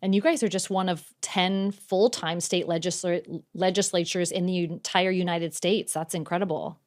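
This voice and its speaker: Brief: woman podcast host, lower pitch, upbeat and friendly, interviewing a guest. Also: steady tone, normal speed. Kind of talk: scripted intro and interview questions.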